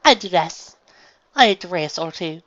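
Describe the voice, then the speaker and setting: Guy doing a country girl pony tryna sound fancy, folksy n halting, higher voice, storybook. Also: animated, bright, forceful tone, no echo, highs cut off, heavily acted. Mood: reluctant, stiff. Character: plain-spoken country farm mare straining to sound refined.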